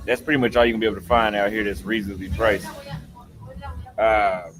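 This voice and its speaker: A man's voice: lowish voice, fairly even intonation, brisk, crowd noise. A man hosting a casual livestream chat outdoors, laid-back and joking, with plenty of swearing. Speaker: livestream host.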